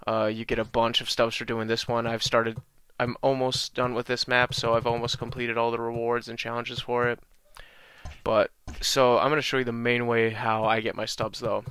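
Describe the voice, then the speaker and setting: A young man with a slight cold-raspy voice, casually walking through a video game tutorial with lots of ums. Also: mid-range voice, moderate pace. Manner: relaxed, many ums and uhs, talks through menus. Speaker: gaming channel host.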